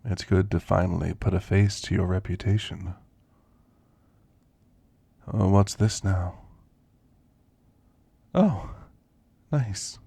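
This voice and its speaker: A man playing a kindly elderly werewolf in an ASMR roleplay, with a gravelly voice and a folksy, gently chatty manner. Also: low-pitched, slow, long pauses, no echo, full character voice. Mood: gentle, friendly. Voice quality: gravelly, creaky. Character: kindly, frail elderly male werewolf, teasing and grandfatherly. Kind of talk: ASMR roleplay, intimate character monologue.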